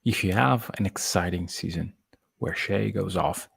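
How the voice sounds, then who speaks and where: A man's voice: deep voice, a little slow, creaky, a bit bright, husky. A man co-hosting a sports podcast in a casual, conversational debate.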